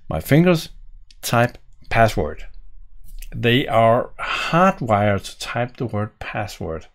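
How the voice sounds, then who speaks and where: Slow-talking Danish man coding. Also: medium pitch, sing-song. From Denmark. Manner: musing, thinking aloud while typing. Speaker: programming tutorial host. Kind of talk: live coding walkthrough. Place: home office, indoors. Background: keyboard typing and clicks.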